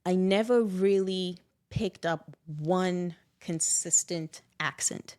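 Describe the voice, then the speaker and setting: A middle-aged woman talks in a reflective, personal conversational style. Her pitch sits in the middle for a woman. She is expressive and animated, slipping in small dramatised asides as she goes. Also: slow. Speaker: guest.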